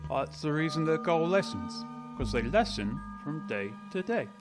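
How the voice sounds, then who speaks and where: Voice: medium pitch, sing-song, moderate pace, faint music behind, natural voice. An actor playing a brusque, bossy, impatient gryphon creature in a radio play, blunt and commanding in manner.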